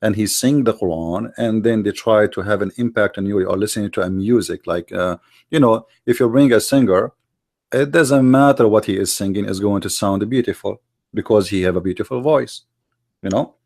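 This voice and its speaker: Gravelly-voiced Arabic-speaking man, argumentative. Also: on the low side, fairly quick, darkish tone. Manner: argumentative, non-native grammar, many fillers. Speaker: guest. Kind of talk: podcast interview.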